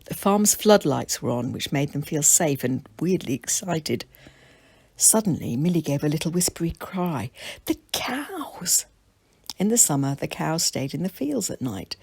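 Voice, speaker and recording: A woman's voice: deep voice, gravelly, a little mellow, slightly rough, dry recording. A woman narrating a children's storybook as its author and reader.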